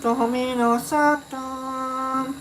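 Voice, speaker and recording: A livestream host chatting casually in a rambling, filler-heavy way, giving affectionate shout-outs to viewers. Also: high-pitched, little pitch movement, extremely slow, a little hiss or hum.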